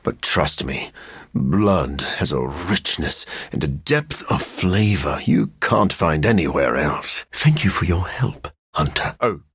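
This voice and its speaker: An actor voicing a brooding, sardonic vampire scientist for a video game, dry, erudite and theatrical, with a gravelly, husky voice. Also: male voice, sits low, animated, slow, fairly bright tone, dry recording, full character voice. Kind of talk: video game character voice lines.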